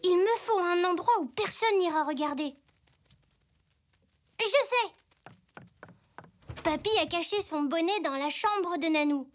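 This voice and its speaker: A woman voicing an eager, curious little boy zebra, earnest and expressive, in a French children's cartoon. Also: very high voice, measured pace, long pauses. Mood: pondering, pleased. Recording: music bed behind the voice.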